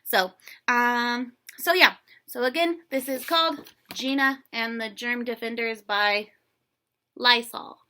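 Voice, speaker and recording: A woman reading a children's story aloud in an animated, playful way, with chatty casual asides to young listeners. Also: a little high, takes it slowly.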